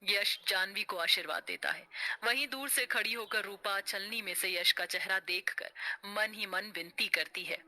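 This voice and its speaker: Very bright female story narrator. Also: medium pitch, moderate pace, low-quality recording. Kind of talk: dramatic scripted narration.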